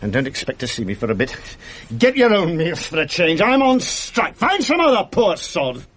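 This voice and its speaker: A husky middle-aged British man, playing a bitter, exasperated husband in a radio drama. His delivery is sardonic and self-pitying, with pitch that swings widely. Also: wildly swooping pitch, moderate pace, a bit bright, full character voice. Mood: furious ranting. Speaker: lead actor and narrator.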